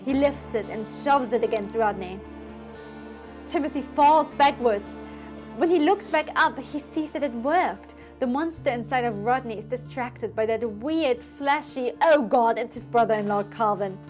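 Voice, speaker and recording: A woman's voice: sits high, a little slow. A woman storyteller reading a scripted tale in a theatrical, suspenseful manner. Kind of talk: dramatic scripted story narration. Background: music.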